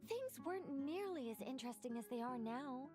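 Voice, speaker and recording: Game angel: wise female guide, lightly high voice, formal and measured, music underneath. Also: expressive. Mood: wistful, reflective. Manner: articulate, formal, measured, philosophical. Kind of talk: scripted video game voice acting.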